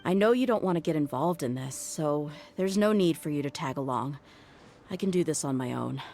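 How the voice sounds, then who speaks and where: Resolute game mercenary, notably low, low-key delivery, faint score. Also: female voice, lively intonation, normal speed, darkish tone, soft background music. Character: tough, resolute young female mercenary.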